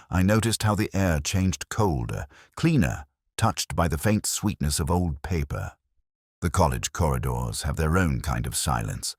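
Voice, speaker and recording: A man reading a first-person literary detective mystery in a measured, reflective, melancholy way. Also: sits low, husky, dry recording, clean studio sound.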